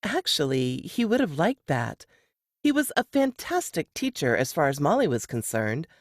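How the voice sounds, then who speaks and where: A woman's voice: sits low, very animated, moderate pace, no echo, clean studio sound. A woman voicing a friendly, easygoing female café worker in an audiobook story, casual and helpful. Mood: chatty. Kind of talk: audiobook character dialogue.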